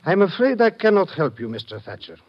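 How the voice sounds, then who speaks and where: An actor playing a reserved Greek police lieutenant in an old radio drama, speaking in a precise, formal, measured manner. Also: male voice, high-pitched, big pitch swings. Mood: courteous, guarded. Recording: dull, faintly noisy vintage radio recording.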